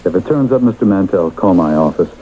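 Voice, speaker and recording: An actor in a radio drama playing a brisk, impatient businessman with a curt, self-important manner. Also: low-pitched, lively intonation, warm tone. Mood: impatient, fretful. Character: brisk, impatient businessman, health club customer. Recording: muffled old radio broadcast, faint noise.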